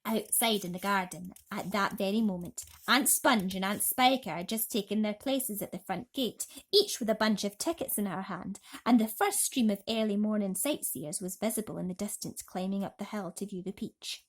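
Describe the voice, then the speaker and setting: A woman narrating a children's bedtime story as an expressive, dramatic storyteller. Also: medium pitch, normal speed, hardly any pauses.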